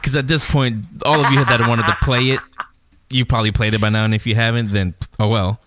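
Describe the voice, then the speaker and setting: A man's voice: mid-range voice, flat delivery, a little fast, background noise. A man hosting a radio show in casual, chatty conversation.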